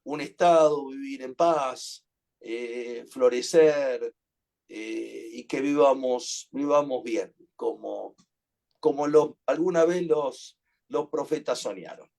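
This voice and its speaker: A man's voice: medium pitch, takes it slowly, creaky, a little mellow. A man with an Argentine accent leading an online class, speaking in an earnest, reflective way that halts at times. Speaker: teacher.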